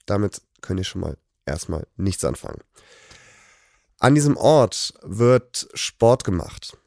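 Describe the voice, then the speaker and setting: Man, casual host, halting with fillers, dry studio sound. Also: lowish voice, creaky, no echo. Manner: relaxed, hesitant with many fillers. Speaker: podcast host. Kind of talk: casual chat.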